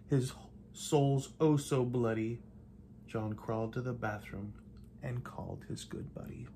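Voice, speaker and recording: Older guy reading a rhymey storybook out loud, kinda theatrical and playful, bit of room noise. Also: mid-range voice. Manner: theatrical, measured delivery with playful emphasis. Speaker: reader of a picture book. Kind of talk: rhymed storybook read-aloud. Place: indoors in a home living room.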